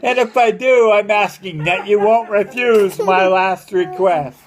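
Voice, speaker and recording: Man voicing a high, moaning, freezing gold prospector. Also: measured pace. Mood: resigned, pleading. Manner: moaning, pleading. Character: freezing, dying male gold prospector pleading a last request.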